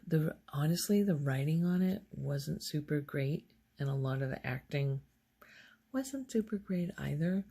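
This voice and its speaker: A woman hosting an ASMR video, speaking softly in a casual, rambling show-and-tell way and often slipping into a whisper. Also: low voice, lively intonation, measured pace, husky.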